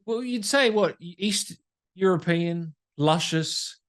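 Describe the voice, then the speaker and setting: A man's voice: high-pitched, takes it slowly. A man hosting a casual gaming podcast interview, putting questions to a guest in a conversational way.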